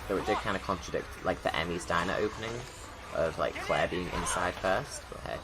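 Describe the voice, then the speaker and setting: Low-voiced man giving casual commentary. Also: a little fast, very noisy. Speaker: video creator. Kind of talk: casual creator commentary over film. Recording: background film sound under commentary. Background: game movie soundtrack and ambience.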